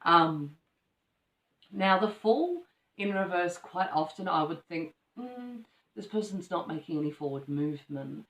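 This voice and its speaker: A woman giving a casual tarot reading, rambling with many ums and restarts, her voice slightly croaky from allergies. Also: on the low side, animated, measured pace. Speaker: tarot reader. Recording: echoey room from far off the mic.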